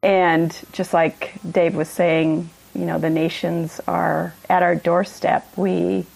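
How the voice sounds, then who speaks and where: A woman, introducing herself as a guest slowly and haltingly. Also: on the low side, level tone, gravelly, darkish tone, rough recording. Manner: slow, halting, with fillers. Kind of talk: personal introduction in conversation.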